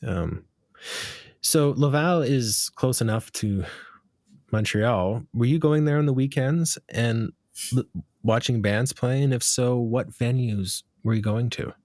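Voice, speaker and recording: A man's voice: medium pitch, takes it slowly, a little mellow, no room sound, clean studio sound. A man hosting a casual interview, asking long, rambling questions with fillers. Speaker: podcast host.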